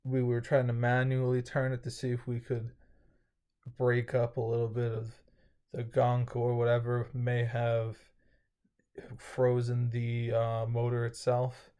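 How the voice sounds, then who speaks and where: A man's voice: medium pitch, completely flat delivery, measured pace, warm tone, clean tone. A young man with a slightly dry throat, casually recapping his day in a rambling vlog with many fillers and restarts. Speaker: vlogger.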